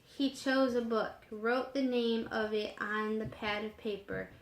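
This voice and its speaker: A woman reading a children's novel aloud as a storyteller, unhurried and expressive in her delivery. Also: on the high side, echoey room, rough recording.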